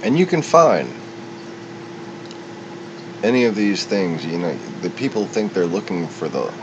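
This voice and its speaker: A man with a very low voice delivers an off-the-cuff monologue. He is opinionated and wandering, with halting phrases. The recording is muffled, with a loud, steady rumble behind him. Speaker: solo commentator. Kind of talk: unscripted rambling monologue.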